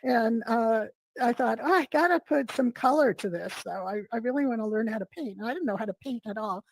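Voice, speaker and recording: A middle-aged woman, hoarse from a cold, talking in a rambling way with many fillers as a guest on a video call. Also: a little high, expressive, fairly quick, slight background noise, poor audio. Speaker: guest artist. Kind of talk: casual interview chat.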